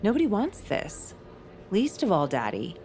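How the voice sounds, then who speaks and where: An actress playing a poised, cutting president's daughter in an audio drama, sugary and condescending. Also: female voice, medium pitch, wildly swooping pitch, a little slow, a little mellow, a little hiss or hum. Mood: cool triumph.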